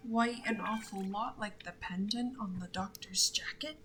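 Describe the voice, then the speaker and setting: A woman's voice: medium pitch, moderate pace, fairly bright tone, slight background noise. A woman streamer casually commenting on a game as she plays, often as if talking to herself.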